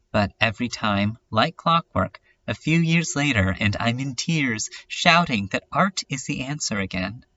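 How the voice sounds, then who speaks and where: Male reader as abandoned cartoon girl, wistful and wry, melancholic monologue, mid pitch, dull lo-fi sound. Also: fairly bright tone. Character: lonely, weary cartoon girl abandoned in empty town. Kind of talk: first-person dramatic monologue reading.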